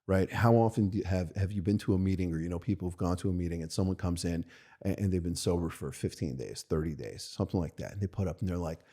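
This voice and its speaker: Low, warm-toned American man talking recovery. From United States. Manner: thoughtful and halting, many restarts and "right?" tags. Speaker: podcast guest, rabbi. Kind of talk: candid conversational storytelling.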